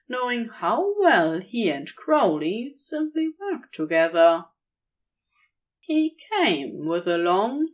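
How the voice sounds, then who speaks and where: A woman narrates a story from a script at an unhurried pace. Her pitch moves in lively swings from phrase to phrase.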